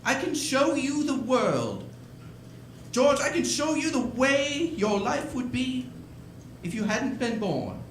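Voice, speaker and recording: A man playing a gentle, eager old guardian angel in a 1940s-style radio play, hesitant, stammering and quaintly polite. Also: extremely high pitch, sing-song, slow pace, reverberant, a little hiss or hum, full character voice, poor audio. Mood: earnest, mysterious. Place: indoor stage performance.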